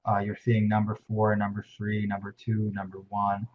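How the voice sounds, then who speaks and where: A quiet-voiced young man gives a screen-share tutorial with a lowish voice and frequent hesitations. The sound comes through a dull, muffled webcam mic, with a faint hiss underneath. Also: completely flat delivery. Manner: soft-spoken, halting with many uh fillers. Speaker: teaching assistant. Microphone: webcam microphone.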